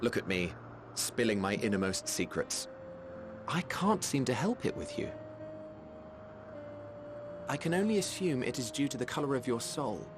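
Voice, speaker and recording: An actor playing a genial, wry mage in a video game, speaking in eloquent, formal phrases with fond admiration. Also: male voice, mid-range voice, sing-song, over music, lightly acted. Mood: gently wry. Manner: eloquent, formal, measured storytelling. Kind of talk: video game cutscene acting.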